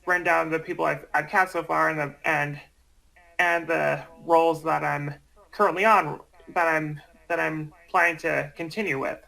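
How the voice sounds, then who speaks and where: A man chatting casually as a guest, hesitant and halting, often repeating his words. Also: on the high side, steady tone, creaky, bright, forceful tone, poor audio. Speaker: guest, amateur voice actor. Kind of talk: casual chat.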